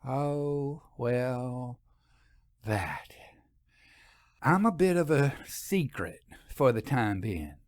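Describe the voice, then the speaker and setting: A man's voice: mid-range voice, expressive, no room sound. Man voicing a folksy young country wife. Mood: sheepish. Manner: folksy, teasing, quick to laugh.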